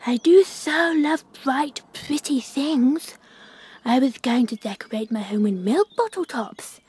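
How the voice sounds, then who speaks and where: A covetous, showy storybook magpie, acted by a woman, high and swooping in pitch. He gushes slowly and breathily, savouring every word. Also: animated, darkish tone, breathy edge, heavily acted. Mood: greedy delight. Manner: gushing, gasping with admiration. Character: greedy, vain, covetous male magpie.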